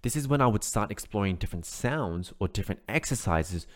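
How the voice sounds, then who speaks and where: A man's voice: lowish voice, expressive, fairly quick. A man teaching an online singing lesson, explaining steps in an encouraging way and often checking in with "right?". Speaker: vocal coach.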